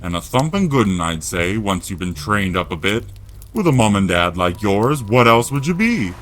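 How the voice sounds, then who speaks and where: Man putting on a booming, blustering giant. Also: sing-song, normal speed, a bit bright, slight background noise. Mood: gruff, proud. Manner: booming, growling, rustic colloquial speech. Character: huge blustering giant man, rough-spoken, indignant, protective. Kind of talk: audiobook-style audio drama character.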